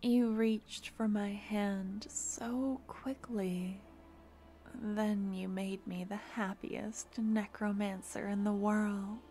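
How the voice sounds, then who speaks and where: A young woman voicing a doting, composed necromancer girlfriend in an ASMR fantasy roleplay, speaking softly and intimately, slipping into whispers close to the mic. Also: medium pitch, fairly even intonation, slow pace, lightly acted. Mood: tender. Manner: soft, intimate, drifting in and out of whispers. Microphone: close ASMR microphone.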